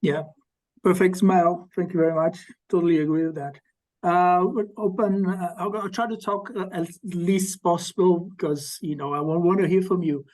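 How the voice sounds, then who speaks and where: High-voiced man moderating a workshop. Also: fairly even intonation, a little mellow, poor audio. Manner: brief thanks, quick hand-offs to speakers. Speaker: journal editor hosting a workshop. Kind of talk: moderating an online discussion.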